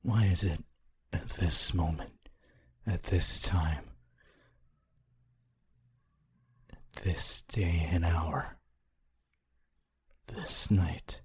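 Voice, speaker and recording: A centuries-old ghost played by a man speaks in a deep, extremely breathy and husky voice. He drifts along at a very slow, halting pace, solemn and lonely, in a gentle ASMR roleplay style. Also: fairly even intonation, exaggerated voice. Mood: wondering, earnest. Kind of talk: ASMR audio roleplay, slow scripted monologue.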